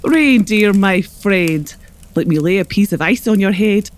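An actress with an Irish accent playing a shrewd, hospitable northern wise woman in a children's audio drama, speaking with deliberate gravity. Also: mid-range voice, animated, lightly acted. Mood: welcoming.

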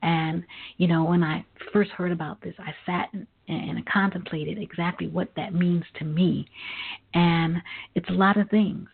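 A woman hosting a live internet talk radio show with a halting, reflective delivery. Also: on the low side, fairly even intonation, gravelly. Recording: phone-like lo-fi internet radio line.

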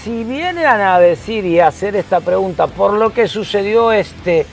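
Emphatic teasing older man, a bit high. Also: animated, moderate pace, gravelly, faint music behind. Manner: teasing, emphatic, repeats himself. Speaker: co-host, former footballer. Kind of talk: casual sports chat.

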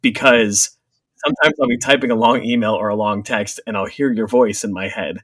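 A man's voice: a little fast, a bit bright, no room sound. A man co-hosting a casual podcast, teasing and dry in his humor. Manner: dry, teasing, drops low when joking. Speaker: podcast co-host. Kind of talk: casual podcast chat and interviewing.

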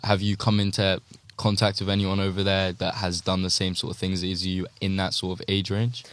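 A man hosting a podcast, asking questions in a halting way with many ums and trailing phrases. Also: sits low, monotone, no echo. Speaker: podcast host. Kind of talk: casual interview questions.

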